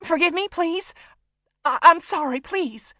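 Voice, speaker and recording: A woman narrator playing a frightened female doctor held hostage in an audiobook, pleading desperately in halting, breathless bursts. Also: very high voice, bright, forceful tone, dry recording, pitched above the performer's own voice, exaggerated voice. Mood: frantic pleading. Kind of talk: audiobook character acting.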